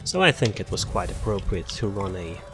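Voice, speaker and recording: Low-voiced man rambling through a game build. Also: sing-song, over music. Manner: rambling, halting, with many fillers and restarts. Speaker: gaming channel host. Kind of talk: casual game build walkthrough.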